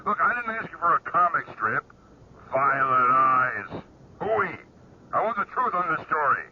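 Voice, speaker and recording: On a dull, clearly noisy old radio recording of a long-distance call, a blustering old newspaper boss barks in a fairly high voice. He is irascible and scoffing, with folksy turns of phrase. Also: big pitch swings, fast. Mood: irate, scoffing. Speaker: actor in a radio drama. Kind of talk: old-time radio acting.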